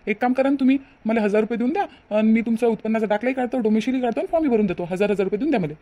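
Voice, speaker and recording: A woman's voice: a little high, very fast, warm tone, close to an everyday voice. A woman voicing a sly young male swindler in a Marathi cartoon, with a rural Vidarbha accent and pushy, reassuring patter. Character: sly young male con man, persuasive, falsely reassuring. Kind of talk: Marathi cartoon moral-story dialogue.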